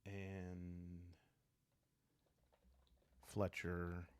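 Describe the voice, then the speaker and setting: Soft asmr guy doing solo rpg storytelling, deep voice, super slow, long pauses, calm. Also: smooth, no echo. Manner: calm, unhurried, thinking aloud with long pauses. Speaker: host playing a solo journaling roleplaying game. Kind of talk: soft-spoken ASMR narration, improvising a story aloud.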